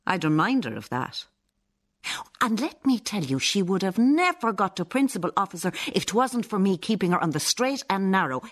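Husky, self-righteous older Irish nun. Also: female voice, on the low side. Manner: accusing, sanctimonious, emphatic. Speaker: radio drama actress. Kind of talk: radio play acting, monologue and dialogue.

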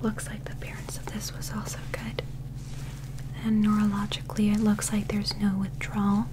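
A young woman doing a soft-spoken ASMR medical roleplay in a breathy whisper, gentle, soothing and reassuring, close to the microphone. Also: medium pitch, completely flat delivery, moderate pace. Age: young adult.

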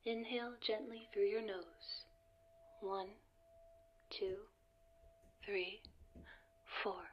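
A woman playing a serene wellness announcer guiding relaxation, in a calm, measured, breathy half-whisper. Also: medium pitch, muffled recording, slight background noise. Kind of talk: ASMR guided meditation narration, announcer-like.

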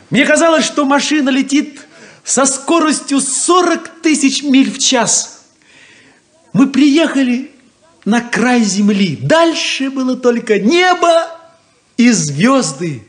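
A man playing an excitable, boastful, lovestruck younger brother in a 1970s Soviet radio play, with a teasing, drawn-out delivery. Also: very high voice, full character voice.

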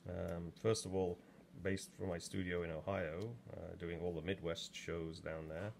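Deep-voiced older english guy rambling on in his pottery studio, bit of room hum behind. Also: little pitch movement, a little slow, gravelly, darkish tone. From England. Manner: rambling, chatty asides with many ums. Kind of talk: casual demo talk while working. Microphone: camera over his shoulder.

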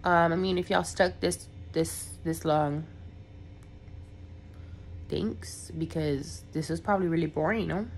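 A young woman talks to her viewers at an unhurried pace, her voice sitting a little low. She sounds congested, and she rambles casually, padding her talk with fillers. A faint hiss of noise sits behind her. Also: fairly even intonation. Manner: rambling, filler-heavy, chatty. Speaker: vlogger.

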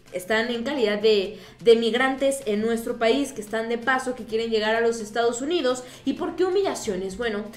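A woman's voice: a little high, fairly bright tone, reverberant. A young woman with a Mexican accent, hosting news commentary to camera. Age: young adult. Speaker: news host.

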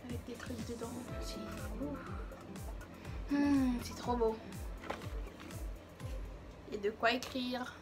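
A woman's voice: a little high, fairly even intonation, takes it slowly, pauses often, music in the background. An eighteen-year-old woman chatting casually to camera in an effusive way, repeating words for emphasis. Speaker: vlogger. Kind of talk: casual birthday vlog chat to camera. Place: small bedroom, some clips out in the street. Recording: noisy amateur camera audio.

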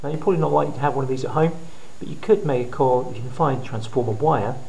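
A man's voice: mid-range voice, very fast, mellow. Middle-aged man demonstrating, noisy recording. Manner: plain step-by-step explaining in short phrases. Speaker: physics teacher presenting a how-to video. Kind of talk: hands-on demonstration tutorial. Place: indoor school science classroom. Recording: clearly noisy room, handling clicks.

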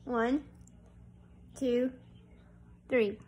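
A woman's voice: fairly high pitch, fairly even intonation, drawn-out words, a little mellow, a little hiss or hum. A woman in her thirties casually reviewing snacks for a home vlog, talking plainly and homespun into a phone held at arm's length in her living room. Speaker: vlogger. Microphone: phone camera at arm's length.

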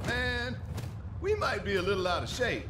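An actor playing a wisecracking, loyal middle-aged superhero buddy in an animated video game, wry and conversational. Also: male voice, high voice, normal speed, heavy background noise. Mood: teasing.

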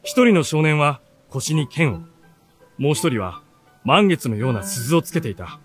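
Earnest, animated bookish teenage youth. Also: male voice, fairly high pitch, measured pace, faint music behind. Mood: curious narrating. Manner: expressive, earnest storytelling. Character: earnest seventeen-year-old youth, bookish runaway storyteller. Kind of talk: radio drama narration and dialogue.

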